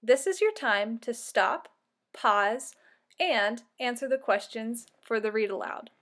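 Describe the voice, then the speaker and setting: A woman's voice: a little high, slow pace, a bit bright. A woman narrating a children's read-aloud like a teacher, giving instructions and asking questions.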